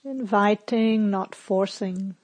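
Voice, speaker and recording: A woman meditation teacher leading a guided meditation in a calm, gentle, measured manner with long pauses. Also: medium pitch, no echo.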